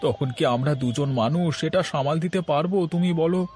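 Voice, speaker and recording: A man's voice: on the high side, normal speed, darkish tone, close to an everyday voice. A man voicing an honest, humble village cloth trader in a moral-story cartoon, with an earnest, homely delivery. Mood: anxious. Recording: background music under the voice.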